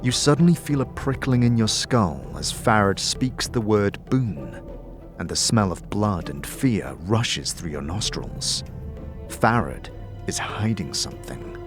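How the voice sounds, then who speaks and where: A man narrating a dark fantasy audio drama in a solemn, measured, dramatic way. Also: lowish voice, big pitch swings. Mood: ominous. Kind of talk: scripted second-person audio drama narration. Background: music.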